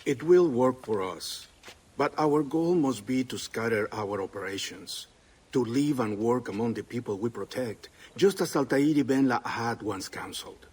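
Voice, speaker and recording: An actor playing a calm, solemn, formal assassin mentor in a video game. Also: male voice, mid-range voice, a little slow, close to an everyday voice. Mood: calm, purposeful. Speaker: actor voicing an assassin leader. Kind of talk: cinematic video game acting.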